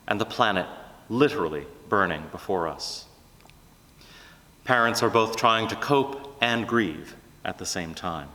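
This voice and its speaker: A man preaching a scripted sermon in an earnest, deliberate manner. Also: on the low side, fairly bright tone. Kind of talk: sermon, measured scripted delivery. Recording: long hall reverb.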